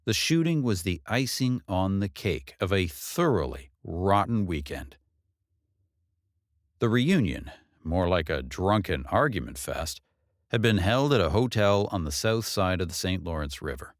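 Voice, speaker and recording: A man reading a novel as an audiobook narrator, in a measured, expressive delivery with drawn-out phrases. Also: sits low, dry recording, studio quality.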